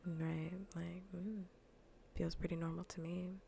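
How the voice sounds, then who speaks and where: A woman livestreamer chatting softly in ASMR style, often whispering with a breathy, gravelly fry, in a casual, rambling way that repeats phrases. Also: fairly low pitch, flat delivery, measured pace, slight background noise. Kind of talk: soft ASMR gaming livestream chat. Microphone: close ASMR microphone.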